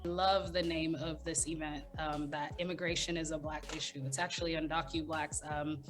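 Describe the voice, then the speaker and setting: A woman speaking as a panelist at a video roundtable, measured and a little hesitant, with fillers. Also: low voice, level tone, music in the background. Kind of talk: video call roundtable remarks.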